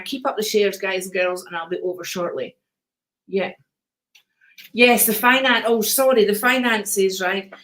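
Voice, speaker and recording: Older woman, Scottish accent, live-stream host, calm soothing voice, chatty, affectionate. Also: mid-range voice. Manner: chatty, affectionate, calls viewers darling and sweetheart. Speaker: host of live card readings. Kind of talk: casual live-stream reading and chat.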